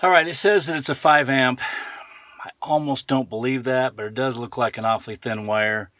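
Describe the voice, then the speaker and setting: A middle-aged American man narrating a hands-on vlog in a casual, unhurried way, thinking aloud as he goes. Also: medium pitch, fairly even intonation, a bit bright, dry recording. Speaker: vlogger showing a vehicle radio installation.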